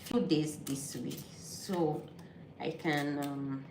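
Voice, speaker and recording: A woman's voice: sits low, steady tone, very slow. A woman from Nigeria chatting casually in a cooking vlog, hesitant and self-correcting, often repeating words as she talks. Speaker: vlogger. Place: home kitchen. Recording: echoey kitchen with background noise.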